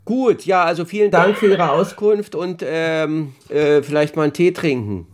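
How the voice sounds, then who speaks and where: A man's voice: on the high side, moderate pace. A man speaking casually and a little hesitantly, rambling as he makes enquiries. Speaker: documentary radio author planning a trip.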